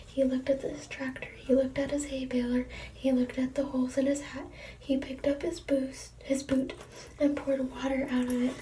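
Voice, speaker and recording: A young woman reading picture books aloud in a soft ASMR whisper, adding giggly asides, recorded from a distance with background noise. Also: sits high, monotone, moderate pace, reverberant. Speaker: storybook reader. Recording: distant mic, clear noise.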